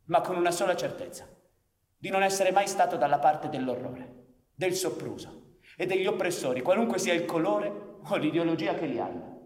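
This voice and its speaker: Defiant idealist rebel in a theatre, high voice, big swings, echoey. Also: male voice, lively intonation, moderate pace. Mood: defiant, resolute. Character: idealistic, defiant, anguished revolutionary man. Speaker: stage actor. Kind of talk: live Italian theatre acting. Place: theatre stage. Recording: echoey theatre hall with noise.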